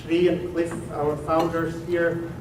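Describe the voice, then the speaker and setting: A man's voice: on the high side, slow. Swiss-accented man speaking in an echoey room. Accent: Swiss German. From Switzerland. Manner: relaxed and conversational, with frequent "uh" and "um". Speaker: presenter at a tech meetup. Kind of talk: technical talk to an audience. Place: meeting room at a company office. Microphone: room recording from a distance. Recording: echoey room from far off, with background noise.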